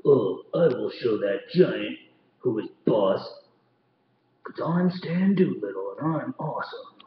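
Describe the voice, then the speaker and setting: A man's voice: medium pitch, lively intonation, takes it slowly, a little hiss or hum. A man doing a cocky, bragging townsman. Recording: roomy, slightly dull recording.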